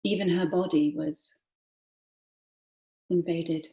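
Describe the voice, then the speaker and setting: A woman reads literary prose aloud in a fairly low voice. Her delivery is dramatic and expressive, shifting between hushed and emphatic. The room around her voice is clearly echoey. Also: slow, muffled recording. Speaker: actor reading from a novel.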